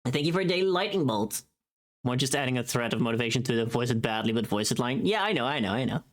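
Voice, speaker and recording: A livestream host with a mid-pitched voice and very animated, swinging intonation. The talk is casual and profane, like someone thinking aloud while working on screen. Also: fast.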